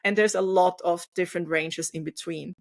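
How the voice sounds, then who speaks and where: A woman's voice: fairly low pitch, steady tone, moderate pace, gravelly. A young woman from Austria whose first language is German, hosting an interview podcast in English and asking questions in a thoughtful, curious way. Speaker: podcast host. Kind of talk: conversational interview.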